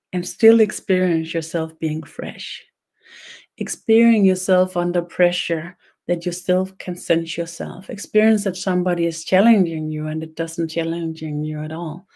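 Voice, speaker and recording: Woman with Danish accent, low voice, slow halting delivery, webinar host. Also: warm tone. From Denmark. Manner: halting, pauses often, repeats words. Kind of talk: slow meditative teaching.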